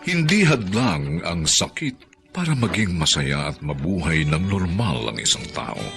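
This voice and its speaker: Deep Filipino male narrator over music. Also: very animated, measured pace. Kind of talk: solemn inspirational radio narration.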